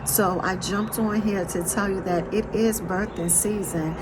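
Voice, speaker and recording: A hoarse, straining woman giving an inspirational faith talk, repeating "right?" after her phrases. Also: medium pitch, steady tone, measured pace, noisy background. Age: adult with children. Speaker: motivational speaker.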